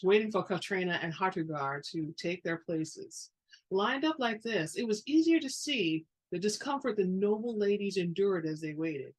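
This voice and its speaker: A woman's voice: lowish voice, poor audio. A woman giving a dramatic reading of fiction as a storybook narrator. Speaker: audiobook-style reader.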